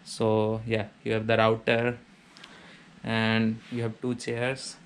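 Flat-voiced man giving a room tour. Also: lowish voice, slow, slight background noise. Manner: plain, matter-of-fact listing of features. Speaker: vlogger giving a house tour. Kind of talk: casual walkthrough narration. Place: small student apartment indoors.

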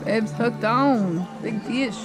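A woman's voice: on the high side, slow pace, loud music behind the voice. A woman fishing offshore with her partner who gives short, easygoing reactions and is recorded on a boat-mounted action camera. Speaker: angler and co-host. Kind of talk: casual chat while fishing. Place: small open boat offshore. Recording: loud wind and engine noise.

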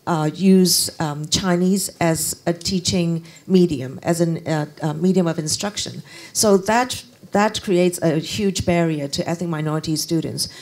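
A middle-aged woman explaining as a conference panelist into a handheld microphone, halting and repeating words as she goes. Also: on the low side, darkish tone. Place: conference function room, indoors.

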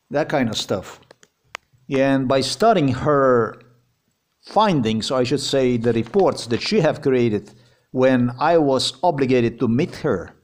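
A man with a Slovenian accent speaks English as a second language, heated and insistent. His pitch sits in an ordinary middle range. He circles back over his points, repeats words and swears as he goes. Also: darkish tone. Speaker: vlogger. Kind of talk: agitated personal account.

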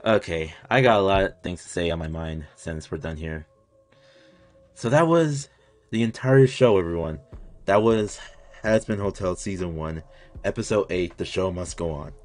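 A man in his twenties with a low-pitched voice hosts a casual reaction video from a small room at home. He talks off the cuff in a rambling, excited fan's way, with some mild swearing. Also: moderate pace, soft background music. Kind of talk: casual unscripted reaction commentary.

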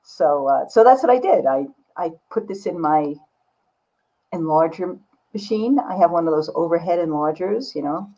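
Husky-voiced older woman, chatting informally. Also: lowish voice, animated, normal speed, dark tone, low-quality recording. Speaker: visual artist, guest. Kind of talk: casual chat explaining own artwork. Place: book-lined home studio, indoors. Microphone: computer mic on a video call.

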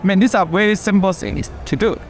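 Measured older man explaining science. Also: high-pitched, a little hiss or hum. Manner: measured, informative, with fillers. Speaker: interviewee. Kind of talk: explanatory expert talk. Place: expo booth, indoors.

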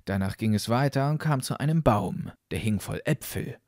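A man narrating a fairytale audiobook as a storyteller, expressive and deliberate. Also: lowish voice, no room sound, clean studio sound.

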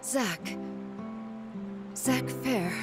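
Kindly mother under loud music. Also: a little high, drawn-out words. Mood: anxious hope. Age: middle-aged. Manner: polite, solicitous. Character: kindly, anxious middle-aged mother. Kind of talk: dramatic game cutscene acting.

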